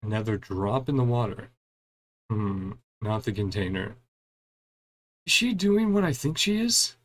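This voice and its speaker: A man's voice: lowish voice, expressive, a little slow, fairly bright tone. A man streaming a game in a casual, chatty way, reading dialogue aloud and murmuring short reactions between the lines. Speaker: gaming streamer playing through a story quest.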